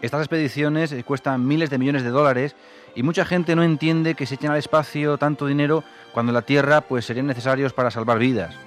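A man from hosting a radio interview, asking questions in a relaxed, teasing way. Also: medium pitch, fairly quick, faint music behind. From Europe. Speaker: radio host.

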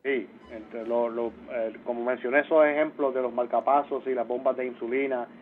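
A Puerto Rican man, a space agency mission coordinator, explaining things in a halting way with many restarts. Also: mid-range voice, steady tone, soft background music. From Puerto Rico. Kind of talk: phone interview. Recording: tinny phone line.

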